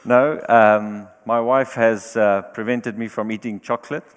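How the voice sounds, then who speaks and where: A man from South Africa, a non-native English speaker, opening a conference sermon in a halting, warm way with fillers, with a live interpreter. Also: on the low side, steady tone, clear, resonant tone. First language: not English. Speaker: guest preacher. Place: church hall. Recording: reverberant hall.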